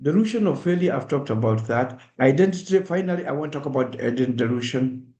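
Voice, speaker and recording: A man's voice: medium pitch, expressive, fast, warm tone. A middle-aged man with a Kenyan accent giving an earnest, direct public talk and acting out examples in the first person. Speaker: speaker at a diaspora awareness event. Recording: echoey room.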